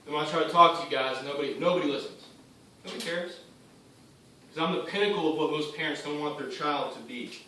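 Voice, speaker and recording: Man playing cynical young drug dealer, bright forceful voice, defiant, clipped phrases, stage monologue, distant echoey recording. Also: on the high side, fast. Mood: bitter. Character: bitter, cynical young male drug dealer justifying himself. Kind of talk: theatrical monologue addressed to an audience. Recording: very echoey room, distant mic, faint noise.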